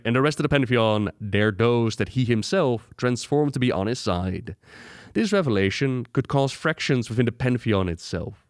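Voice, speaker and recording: A man's voice: lowish voice, clear, resonant tone, no room sound. A man hosting a gaming lore video, narrating a script with dramatic rhetorical questions into a close microphone.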